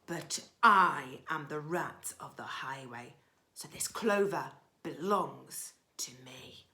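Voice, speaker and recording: A woman voicing a boastful, greedy male rat highwayman from a rhyming storybook, with loud theatrical commands. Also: slow pace, a little hiss or hum, heavily acted. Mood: bullying, boastful. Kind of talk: rhyming children's storybook villain.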